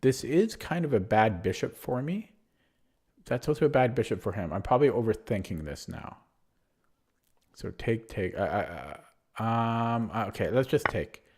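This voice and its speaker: A man's voice: on the low side, animated, moderate pace, darkish tone, slightly rough. A man streaming online chess, thinking aloud through his moves with a hesitant, self-deprecating manner and frequent fillers. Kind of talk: live game commentary.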